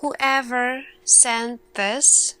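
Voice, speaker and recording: A woman playing an earnest lead scientist in an ASMR roleplay, speaking deliberately in short phrases with dramatic pauses. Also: fairly high pitch, fairly even intonation, pushed and bright, slight background noise, poor audio. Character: earnest female lead scientist addressing her team. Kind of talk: slow ASMR roleplay monologue.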